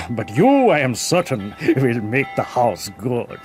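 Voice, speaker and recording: An actor playing a genial, proud older general in a radio drama, chuckling and grandly courteous. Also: male voice, medium pitch, big pitch swings, takes it slowly, a little mellow, faint music behind.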